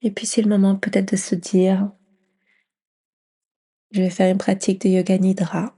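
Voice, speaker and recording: A woman leading a guided yoga nidra meditation in a gentle, soothing near-whisper with long pauses. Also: medium pitch, flat delivery, breathy edge.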